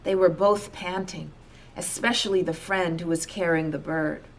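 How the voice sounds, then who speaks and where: A woman reading a children's storybook aloud as an expressive narrator. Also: fairly low pitch, normal speed, low-quality recording. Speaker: storybook reader.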